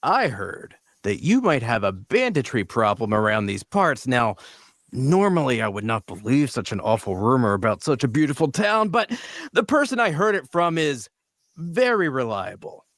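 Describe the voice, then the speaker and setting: Casting: male actor for charismatic fantasy party leader, silver-tongued, theatrically polite, very lively delivery. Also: mid-range voice, moderate pace, no room sound, studio quality. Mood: coaxing. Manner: flattering, theatrically polite, persuasive. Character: charming, smooth-talking male leader of fantasy adventurers. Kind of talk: audio drama table read.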